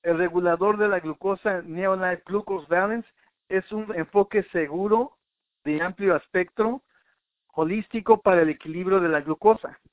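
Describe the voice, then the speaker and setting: A man's voice: high voice, moderate pace, no echo. A man presenting an informal health talk in a didactic way, addressing the listener directly, point by point. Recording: narrow, tinny phone-like sound.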